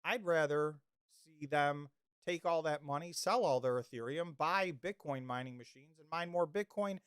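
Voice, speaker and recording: A man's voice: a little high, normal speed, a bit bright, clear, resonant tone, dry recording, clean studio sound. A man hosting a livestream in casual chat, rambling with many restarts and losing his voice. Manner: rambling, restarts often, reads chat comments. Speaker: livestream host.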